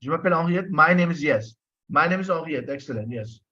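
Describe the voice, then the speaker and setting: A man's voice: fairly high pitch, animated, fast, bright, forceful tone. Patient man teaching online, lo-fi audio. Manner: patient, repeats himself, asks students questions. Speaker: French teacher. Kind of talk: online class lecture. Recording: dull lo-fi audio.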